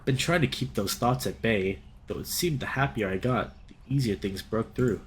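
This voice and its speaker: A man's voice: medium pitch, normal speed, slight background noise. A young man hosting a let's play, reading a visual novel aloud expressively and reacting as he goes. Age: young adult.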